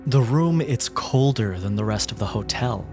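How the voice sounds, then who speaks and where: A man narrating a horror tabletop game as game master, with dramatic, descriptive and deliberate phrasing. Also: mid-range voice, animated, darkish tone. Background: background music.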